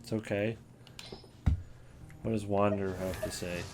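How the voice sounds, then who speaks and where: A man with a fairly low voice hosts a ranking in a casual way. He thinks aloud with hesitant, informal phrasing as he gives his commentary. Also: steady tone, measured pace, noisy background. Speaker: host ranking game voice lines. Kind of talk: casual commentary on a tier list.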